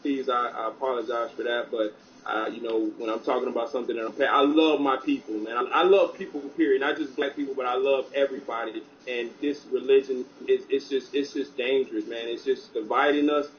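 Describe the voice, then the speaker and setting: A man speaking as a guest on an online talk show, loud and passionate, with many restarts. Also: mid-range voice, steady tone, fairly quick, highs cut off, slight background noise.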